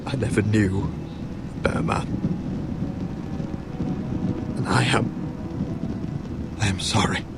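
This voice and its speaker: A man's voice: lowish voice, natural voice. An actor playing a grim young nobleman in a fantasy video game, solemn, terse and fiercely protective of his family. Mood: grieving. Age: young adult. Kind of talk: dramatic video game acting. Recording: loud music behind the voice.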